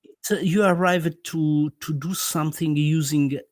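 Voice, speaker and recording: A man's voice: fairly high pitch, steady tone, unhurried, dry recording, studio quality. A man from Italy with an Italian accent, a guest in an interview, talking in a hesitant way full of fillers and restarts. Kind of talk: conversational interview.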